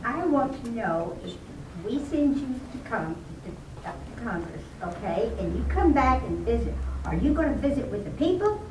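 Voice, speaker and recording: A woman in the audience asks a candidate a lively question. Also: a little high, normal speed, hardly any pauses. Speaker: audience member. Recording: echoey room, from far off, crowd noise.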